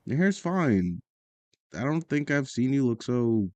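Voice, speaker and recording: A woman's voice: a bass voice, sing-song, unhurried, warm tone, dry recording, exaggerated voice. A woman playing a guarded but caring, wry teenager in an audio drama, speaking in a casual, understated, teasing way. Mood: fond.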